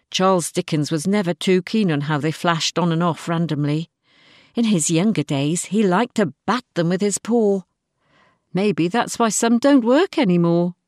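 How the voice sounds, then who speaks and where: A woman reading a scripted, diary-style short story in an expressive manner. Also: fairly low pitch, dry recording, studio quality. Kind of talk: scripted first-person story narration, diary-like.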